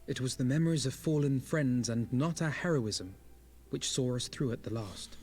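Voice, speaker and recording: Male actor as young hero, fantasy game, modest, earnest, formal, husky, faint music. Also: mid-range voice, moderate pace, a little mellow, natural voice. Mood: humble.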